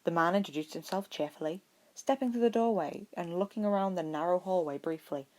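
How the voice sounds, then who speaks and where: Low-pitched woman narrating a story. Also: a little fast, darkish tone. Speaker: amateur audiobook narrator.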